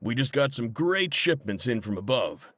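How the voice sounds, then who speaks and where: An actor voicing a polite, upbeat cafeteria cook serving customers in a video game, lively and salesman-like, over music. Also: mid-range voice, moderate pace, very noisy, lightly acted. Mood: welcoming.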